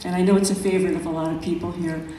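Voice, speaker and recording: A woman poet reading her own work at a live event, in measured, deliberate phrases with pauses. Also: fairly low pitch, level tone, warm tone, slight background noise. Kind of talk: poetry reading at a live event, with brief spoken introductions. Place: echoey room at an arts event. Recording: very echoey room, distant mic, music.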